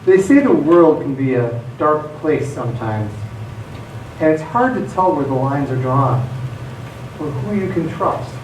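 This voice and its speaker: A man's voice: a little high, animated, unhurried, darkish tone. A man acting a reflective, wistful monologue in live radio theatre. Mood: wondering. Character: reflective, wistful man musing on a dark world. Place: theatre stage. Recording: echoey stage, distant mic, faint noise.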